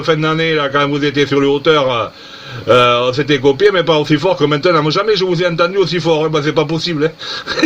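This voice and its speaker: A man's voice: on the high side, fairly bright tone. A man chatting casually as an amateur radio operator, amazed and repeating himself. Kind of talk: casual CB-style radio contact. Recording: dull lo-fi radio contact recording.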